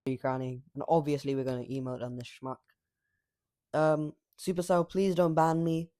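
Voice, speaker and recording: A man giving casual, rambling commentary over his mobile gameplay, cocky and trash-talking, his voice slightly raspy from filming late at night. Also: on the high side, flat delivery, moderate pace, dark tone.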